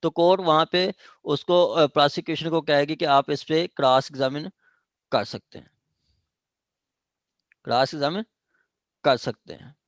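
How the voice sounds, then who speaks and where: A man with a Pakistani accent giving an online law lecture, explaining haltingly with repetition and switching into English legal terms. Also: a little high, no room sound. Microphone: online class call.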